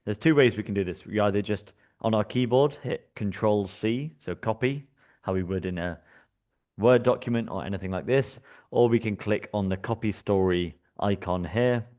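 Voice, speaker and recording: British man, very low voice, software demo presenter, casual with fillers. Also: normal speed. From United Kingdom. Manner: relaxed, chatty, with frequent fillers and restarts. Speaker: workshop presenter. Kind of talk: live software demonstration.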